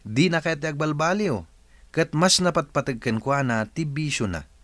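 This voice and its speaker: A man's voice: fairly high pitch, lively intonation, normal speed, fairly bright tone, no room sound. A man with a Filipino accent playing an earnest advice host in scripted radio narration.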